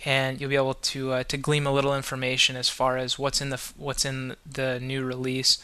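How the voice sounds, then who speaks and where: Bright, clear-voiced male podcast host. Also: mid-range voice, brisk. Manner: relaxed, rambling with many restarts and fillers. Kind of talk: casual podcast talk.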